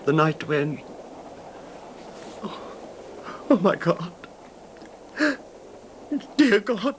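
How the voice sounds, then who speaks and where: An actor playing an older wealthy English husband, haltingly recounting his betrayal in an anguished, formal manner in a radio drama. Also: sits high, very animated, full character voice. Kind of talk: 1970s radio drama acting, narrating a confession. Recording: noisy 1970s radio drama recording.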